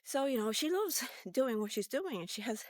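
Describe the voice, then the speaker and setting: A woman in her fifties telling a personal story in a casual, rambling vlog style, halting and informal as she thinks aloud. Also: on the high side, animated, no room sound, studio quality. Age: 50s. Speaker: vlogger. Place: walk-and-talk recording.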